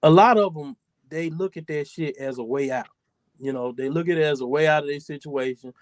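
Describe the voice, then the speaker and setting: A man's voice: fairly high pitch, brisk, no echo. A man in his forties hosting a podcast, talking in a loose, profane, rambling way. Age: 40s. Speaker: podcast host. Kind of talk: casual chat.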